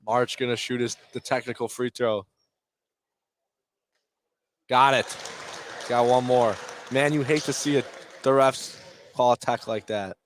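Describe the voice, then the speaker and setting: A man doing live play-by-play radio commentary of a high school basketball game in clipped running phrases. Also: steady tone, unhurried, pauses often.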